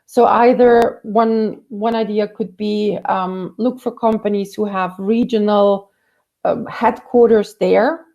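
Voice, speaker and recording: A woman's voice: medium pitch, fairly even intonation, slow pace, mellow, highs cut off. A woman career coach answering viewer questions in a conversational way, with many fillers and restarts. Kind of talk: live Q&A career advice.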